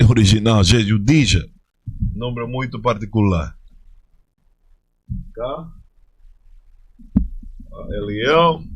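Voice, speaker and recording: A man's voice: mid-range voice, no room sound. Spanish-accented man, dry close sound. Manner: halting, self-correcting Portuguese with Spanish words mixed in; fiery, exhorting when denouncing churches. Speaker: religious group leader answering viewers. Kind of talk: live-stream chat greeting viewers.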